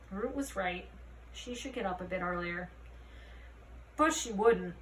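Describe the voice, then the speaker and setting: A woman reading a children's fantasy novel aloud as an expressive storyteller. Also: medium pitch, slow. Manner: expressive storytelling, acting out dialogue. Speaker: read-aloud narrator. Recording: roomy, distant mic, faint noise.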